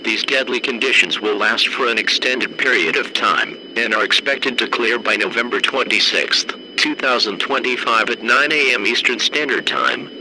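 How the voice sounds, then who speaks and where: Male emergency-broadcast announcer, acted: formal, flat, measured, stern, over clearly noisy radio-style audio. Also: medium pitch, close to an everyday voice. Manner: measured, deadpan, clipped official delivery.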